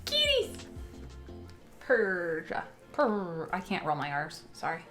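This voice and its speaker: A young woman chatting casually on a stream, reading out viewer messages and telling animated stories with fillers and giggles. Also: lowish voice, takes it slowly. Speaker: stream co-host. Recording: faint music behind.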